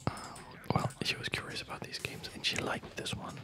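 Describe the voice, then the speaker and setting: Whispering man, rambling softly. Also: fairly quick. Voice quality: whispered, breathy. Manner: rambling, reflective. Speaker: ASMR game streamer. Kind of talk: ASMR rambling commentary over gameplay.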